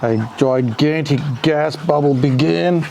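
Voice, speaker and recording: A man's voice: on the high side, slow pace, vocal fry, a little mellow, a little hiss or hum. A man reading a children's story aloud expressively, with playful, chatty asides to a pet. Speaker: storyteller reading a picture book to a dog.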